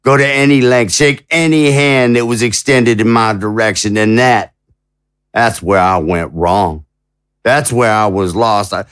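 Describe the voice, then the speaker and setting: Male actor as indignant president, unhurried, dry close recording, rhetorical, stammering, anguished, audio fiction. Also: medium pitch, a bit bright, no echo, close to an everyday voice. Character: anguished, indignant, naive president under arrest. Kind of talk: dramatic audio fiction acting.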